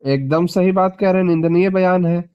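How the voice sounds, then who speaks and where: Male political interviewee on a phone call, high voice, insistent and repetitive for stress. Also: steady tone, fairly quick, clear, resonant tone. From Bihar, India (Magadh region). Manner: emphatic, repeats phrases for stress. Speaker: party politician being interviewed.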